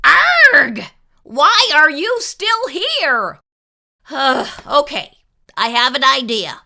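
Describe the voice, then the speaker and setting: A woman acts out a grumpy male pig who writes storybooks and hates being bothered. The voice is high and bright, with wildly swinging pitch. It scolds slowly and emphatically, full of indignation. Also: big pitch swings, gravelly, exaggerated voice. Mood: irritated. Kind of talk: animated children's read-aloud character voice.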